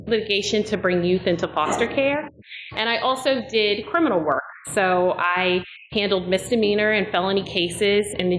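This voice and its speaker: A middle-aged woman from Delaware, a candidate for attorney general, giving an earnest, measured opening statement about her life and career. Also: medium pitch, fairly bright tone. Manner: earnest, measured, personal storytelling. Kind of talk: prepared campaign opening statement. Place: fire company hall at a forum. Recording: echoey hall with background noise.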